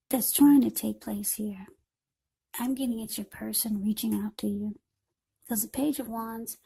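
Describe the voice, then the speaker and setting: A woman with a fairly high, very husky and breathy voice gives an informal tarot reading. Her tone is mellow and soft-edged. She talks straight to the viewer in short, broken phrases, repeating words as she goes. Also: rough recording. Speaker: tarot card reader.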